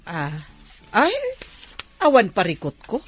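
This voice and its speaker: A lonely, fretful aunt in an Ilocano radio drama. Her Filipino Ilocano voice is husky and unhurried, with big swings of pitch and many exclamations. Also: soft background music, exaggerated voice. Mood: downcast. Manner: expressive, sighing, full of exclamations. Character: lonely, fretful older aunt living by herself.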